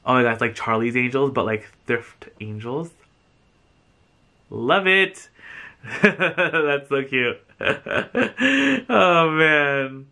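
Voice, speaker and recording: A bright, forward male voice with an American accent and a medium pitch. He chats effusively in a loose, rambling vlog style, peppering his sentences with "like". He sounds like a man in his thirties talking to a camera at home. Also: drawn-out words. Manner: effusive, chatty, rambling with frequent "like". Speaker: vlogger and thrift reseller. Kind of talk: casual chatty vlog to camera. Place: small furnished room indoors, on a sofa.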